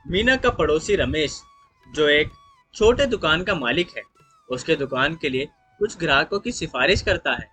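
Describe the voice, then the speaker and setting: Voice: mid-range voice, lively intonation, moderate pace, pushed and bright, husky, soft background music. A narrator reading a scripted moral story in a storytelling style.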